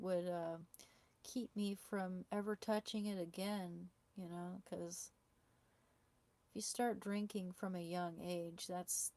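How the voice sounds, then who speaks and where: A woman's voice: on the low side, a little mellow. A woman hosting a casual live stream, chatting with viewers and answering their comments through a small clip-on mic. She has a sore throat and her voice is starting to fail, and she often restarts and repeats words mid-sentence. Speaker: livestreamer. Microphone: small clip-on wireless mic.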